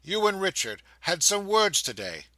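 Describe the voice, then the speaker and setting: A beaming old porter, beside himself with joy, as a male reader puts him on. His voice rings bright and leaps about in pitch. It sits dry and close, like a storybook read aloud. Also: moderate pace, no echo, full character voice. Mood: fond. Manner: excited, fond exclamations. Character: doting elderly male ticket-porter, overjoyed.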